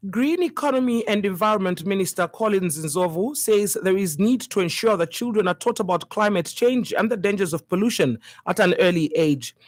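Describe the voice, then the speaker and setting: A woman's voice: mid-range voice, expressive, moderate pace, hardly any pauses, no room sound. Female newsreader, Zambian accent, formal bulletin read, dry studio-style sound. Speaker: radio news reader. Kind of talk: scripted news bulletin reading.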